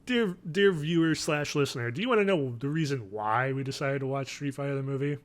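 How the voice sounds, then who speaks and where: Male co-host, thirties, medium pitch, jokey and sweary movie-podcast banter. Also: animated, brisk. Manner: joking, swears casually. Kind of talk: casual film and TV chat. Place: small home room, remote call.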